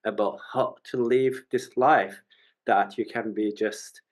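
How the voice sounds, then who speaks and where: A man's voice: on the low side, steady tone, takes it slowly. A man introducing himself as a panel guest on a video call in a chatty, storytelling way. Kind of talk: casual self-introduction.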